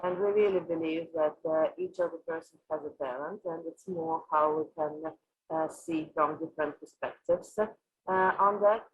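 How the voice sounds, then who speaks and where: A woman from Russia with a Russian accent, answering interview questions as a company executive, haltingly and with frequent filled pauses. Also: sits low, slightly rough, muffled recording, soft background music. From Moscow, Russia. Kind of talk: interview answers on an online panel.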